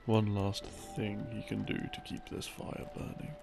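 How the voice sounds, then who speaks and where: A man with a very gravelly, creaky voice talks very slowly over clearly audible music. He rambles through a story meant to help someone sleep, halting and musing between phrases. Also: on the low side, completely flat delivery. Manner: halting, musing delivery with pauses. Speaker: audio roleplay creator playing a boyfriend. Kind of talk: rambling storytelling, sleep-aid lore explanation. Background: background music.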